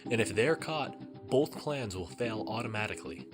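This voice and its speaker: A man's voice: on the low side, lively intonation, normal speed, natural voice. A man voicing a worried conspirator and protective father in a fan audio drama, speaking in an earnest, urgent manner. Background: music score.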